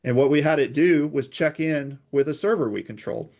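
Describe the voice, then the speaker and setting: A man speaking as an expert guest in an interview, explaining technical security matters in a conversational, measured way. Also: a little high, a little mellow.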